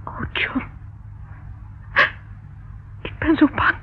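An actress playing a frightened, secretive young woman in a radio play, emotional, shifting between hushed and urgent speech. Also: drawn-out words. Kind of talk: radio play acting in Dutch. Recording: muffled, narrow-band, noisy old radio recording.

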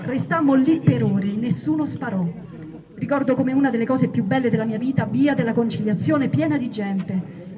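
A woman giving a theatrical reading of a first-person memoir, acting out the shouts and quoted speech. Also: on the high side, normal speed, crowd noise. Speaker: reader at a theatre event. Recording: distant mic, dull sound, audience noise.

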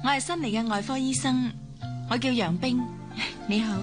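A woman's voice: fairly high pitch, moderate pace, over music, natural voice. A woman acting in a Hong Kong Cantonese radio drama as a friendly, curious and determined young surgeon. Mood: polite.

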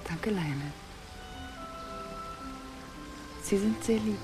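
A woman in a radio play, playing a gentle, timid settler in love, speaking tenderly and hesitantly. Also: mid-range voice, moderate pace, over music, lightly acted. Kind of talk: German radio play acting.

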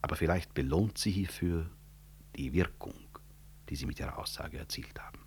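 An actor playing a proud, wronged physician and professor in a 1960s German radio drama, formal, measured and dryly ironic. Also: male voice, deep voice, creaky, in a whisper. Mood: dry courtesy. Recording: 1960s radio recording, faint noise.

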